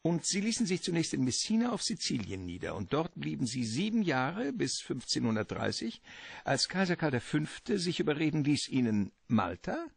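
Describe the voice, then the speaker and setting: Radio play villain: courtly, long-winded treasure hunter, gravelly, with swooping, theatrical delivery. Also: male voice, a little high, sing-song, moderate pace, no echo, full character voice. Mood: relishing, lecturing. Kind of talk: radio drama acting, storytelling monologue.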